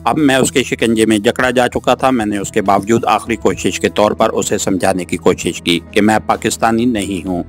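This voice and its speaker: A man's voice: lowish voice, fairly even intonation, normal speed, few pauses. A man reading a first-person suspense novel as a scripted audiobook narration. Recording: music under the voice. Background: background music.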